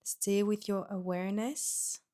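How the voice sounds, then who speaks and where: A woman leads a guided relaxation as a yoga nidra teacher. Her delivery is very slow and gentle, with short, soothing phrases set apart by pauses. Her voice sits at a medium pitch for a woman. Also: steady tone. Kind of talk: guided meditation.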